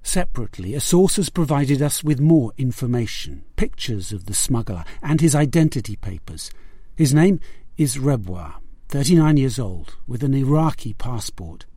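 A man's voice: mid-range voice, animated, moderate pace, dark tone, slightly rough, no room sound, studio quality. A man narrating a scripted investigative news report as a correspondent.

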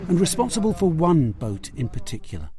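A man's voice: fairly high pitch, very animated, fairly quick, heavy background noise. A man narrating a scripted investigative news report as a correspondent.

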